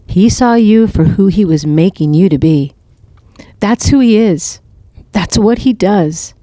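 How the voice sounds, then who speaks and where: A woman playing a wise, tender older mother in an audio drama, speaking in a gentle, reassuring and earnest way. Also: on the low side, no room sound.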